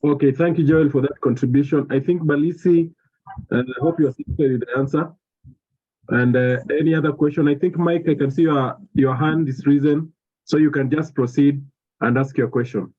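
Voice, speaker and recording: A man's voice: fairly high pitch, fairly even intonation, normal speed. A man moderating an online meeting, briefly calling on participants to speak. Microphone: video call. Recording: husky phone-like call audio.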